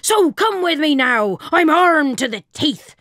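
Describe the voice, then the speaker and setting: A woman puts on a gravelly storybook pirate captain. He blusters theatrically and acts slyly innocent, with lively swoops in pitch and an unhurried delivery. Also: no room sound, clean studio sound, full character voice. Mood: boastful.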